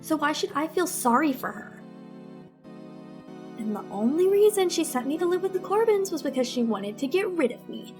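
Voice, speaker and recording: Casting: adult woman for bitter teenage girl in radio drama; high voice, petulant, dramatic. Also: sing-song, normal speed, a little mellow, faint music behind. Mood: bitter, resentful. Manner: sulky, accusing, dramatic. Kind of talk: old-time radio melodrama acting.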